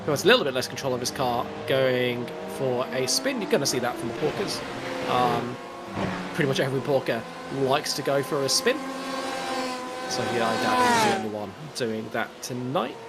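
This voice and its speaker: A man with an Australian accent calling a live sim race as commentator, carrying a cold, steady and explanatory. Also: medium pitch. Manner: measured play-by-play, explains moves. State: has a cold. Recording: loud game car noise behind.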